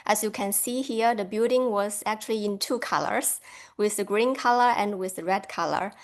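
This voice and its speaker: A woman's voice: mid-range voice, normal speed. A woman from China giving a friendly academic lecture over a video call, laughing lightly now and then.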